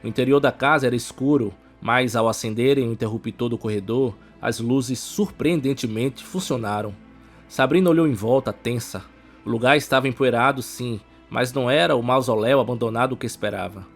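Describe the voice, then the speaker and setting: A man's voice: medium pitch, brisk. A man with a Brazilian accent reading a scripted suspense audiobook as narrator, with music behind him.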